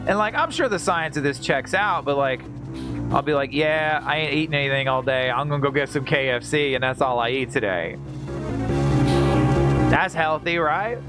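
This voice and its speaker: Male streamer, lively and slightly high-pitched, jokey casual play-by-play with exclamations, loud game music underneath. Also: moderate pace. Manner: jokey, exclamatory, often repeats phrases. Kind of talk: casual live gaming commentary, chatting with viewers. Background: loud video game music.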